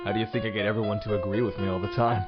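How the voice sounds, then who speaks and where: A man's voice: fairly low pitch, flat delivery, rapid-fire, natural voice. An actor playing a smug, scheming president in an audio drama, theatrical, condescending and mock-friendly as he poses as the heroic saviour. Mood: scheming, persuasive. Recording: dull sound under loud music.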